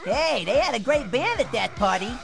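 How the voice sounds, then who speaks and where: A woman's voice: on the high side, big pitch swings, normal speed, gravelly, over music. A woman voicing a jittery, wisecracking rat sidekick in a storybook, chatty and skeptical. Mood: wisecracking, nervous.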